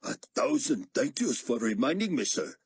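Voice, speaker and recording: A man's voice: mid-range voice, big pitch swings, moderate pace, husky, no room sound, studio quality, voice pushed up, exaggerated voice. A man narrating an audiobook voices a deferential male flight steward who is overly polite and apologetic. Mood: polite, anxious.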